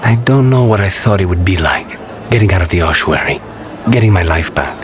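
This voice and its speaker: An actor playing a weary, suave assassin in a fantasy video game, speaking in a dry, measured, understated way. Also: male voice, deep voice, expressive, crowd noise, noisy background. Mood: weary, tender. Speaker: companion character in a fantasy game. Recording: loud music behind the voice. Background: music.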